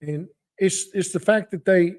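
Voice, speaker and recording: A man in his sixties talking off the cuff on a podcast, with a halting, folksy, earnest manner. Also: sits high, darkish tone. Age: 60s. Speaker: podcast co-host. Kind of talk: unscripted talk, opinion and testimonial.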